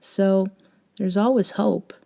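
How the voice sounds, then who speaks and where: A woman playing a gentle, eccentric young woman, a caring friend, in an intimate ASMR audio roleplay, soft-spoken with hesitant pauses. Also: mid-range voice, steady tone, vocal fry. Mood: amused, wry.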